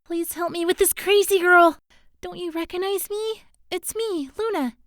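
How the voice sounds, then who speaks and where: A woman voicing a young succubus girl in an animated series, wistful and devoted, with a melodramatic, plaintive delivery. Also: extremely high pitch, normal speed, no room sound, clean studio sound. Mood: pleading. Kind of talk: animated web series voice acting. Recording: music behind the voice.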